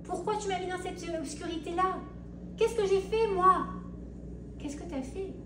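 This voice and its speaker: Femme au ton animé, pièce résonante. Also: female voice, extremely high pitch, normal speed. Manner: animated, acts out the listener's pleas. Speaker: Torah teacher. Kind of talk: spoken Torah class to women. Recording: roomy, distant mic with hiss.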